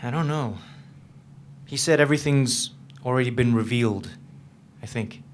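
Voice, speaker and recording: A man's voice: medium pitch, moderate pace, a little hiss or hum, natural voice. An actor reading the part of a troubled, guarded man in a staged reading of a novel, with a hesitant, understated delivery. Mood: uneasy, confiding. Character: troubled, guarded man confiding in his girlfriend, haunted by past.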